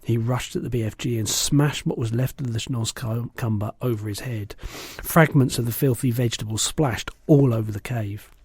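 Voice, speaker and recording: A man reading a children's storybook aloud in an expressive narrating style. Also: mid-range voice, moderate pace, breathy edge, dry recording. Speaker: storybook reader.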